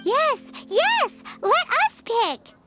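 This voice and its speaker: A woman voicing a tiny childlike fairy girl companion in a video game, squeaky, cheeky and excitable. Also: extremely slow, loud music behind the voice, exaggerated voice. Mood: eager. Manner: cheeky, speaks of herself in third person.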